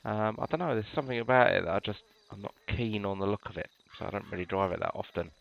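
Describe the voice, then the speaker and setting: A British man with a low, gravelly voice, a little stuffed up from a cold. He chats through a game walkthrough in a relaxed, rambling way, full of ums and restarts, and the recording sounds dull and lo-fi. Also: fast talker. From United Kingdom. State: congested from a cold. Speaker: gamer showing police cars. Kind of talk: casual gameplay walkthrough.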